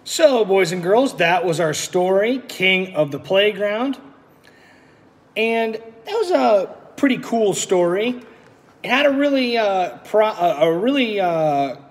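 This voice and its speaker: A man speaking as a teacher to young children, in the style of a read-aloud lesson. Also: sits high. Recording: echoey room with long reverb.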